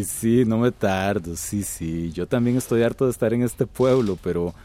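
Low-voiced, arrogant company boss played by a man. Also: dark tone, natural voice. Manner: curt, self-assured, imperious. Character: arrogant, scheming male company boss, sweet-talking and curt. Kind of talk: radio soap opera acting.

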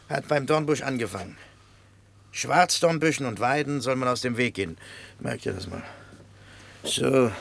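An actor playing a gruff, sarcastic vagabond who grumbles in colloquial speech in a radio play. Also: male voice, medium pitch, full character voice. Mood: sardonic, grumbling. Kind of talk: German radio play acting.

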